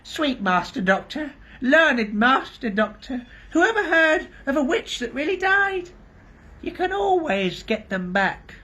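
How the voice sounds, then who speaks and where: A man voicing a sly, fawning old hag with a shrill, whining voice. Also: big pitch swings, a little slow, bright, forceful tone, heavily acted. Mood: wheedling.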